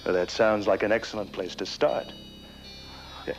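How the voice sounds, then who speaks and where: An actor playing a suave, scheming lawyer in horror-comedy film dialogue, with theatrical emphasis and hesitant murmurs. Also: male voice, fairly low pitch, fairly even intonation, normal speed, muffled recording, soft background music, natural voice. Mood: sly, confident. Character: suave, scheming male lawyer wooing a young widow.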